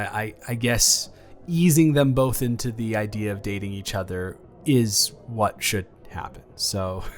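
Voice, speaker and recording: A man's voice: fairly low pitch, creaky. A young man playing an awkward, caring college-age ex-boyfriend in an improvised intimate audio roleplay, earnest and stammering as he rambles. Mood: sheepish, tender. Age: young adult.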